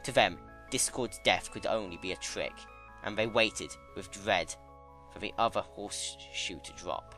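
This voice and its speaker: A man narrates a story dramatically in a low, bright voice with an animated range of pitch. His delivery is solemn and measured, over a music bed. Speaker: narrator, fan-fiction reader.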